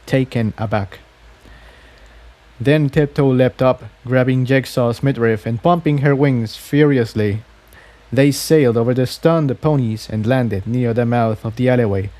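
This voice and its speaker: A man's voice: mid-range voice, unhurried, dark tone. A man narrating a scripted story aloud, occasionally stumbling and repeating phrases as he reads. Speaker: fan fiction reader.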